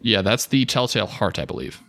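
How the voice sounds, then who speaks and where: A young American man co-hosting a podcast with casual comedic banter, slangy, profane and animated in delivery. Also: on the low side, a little fast, gravelly, dry recording. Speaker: podcast co-host.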